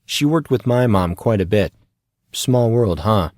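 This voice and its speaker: A man's voice: fairly low pitch, lively intonation, a little slow, a little mellow, dry recording, clean studio sound. A man voicing a laid-back, matter-of-fact teenage schoolboy with a casual, offhand delivery.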